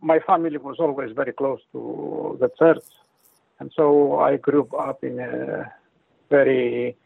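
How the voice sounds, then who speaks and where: A middle-aged Greek-accented man speaks haltingly, pausing often and reaching for words. His voice is gravelly and husky. It comes through a thin, phone-like line. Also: medium pitch, steady tone, dry recording. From Greece. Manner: halting, many pauses and fillers. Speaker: guest. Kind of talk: interview answers.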